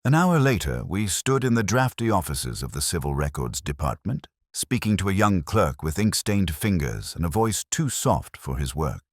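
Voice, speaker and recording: A man reading a scripted first-person mystery as an audiobook narrator, in a measured literary storytelling manner. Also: deep voice, sing-song, dry recording, studio quality.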